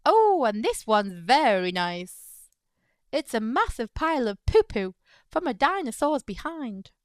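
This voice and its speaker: A woman's voice: sits high, big pitch swings, measured pace, no echo, clean studio sound. A woman voicing an eccentric, boastful male showman from a storybook, grandly enthusiastic about his collection. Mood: proud, gleeful. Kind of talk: storybook character voice.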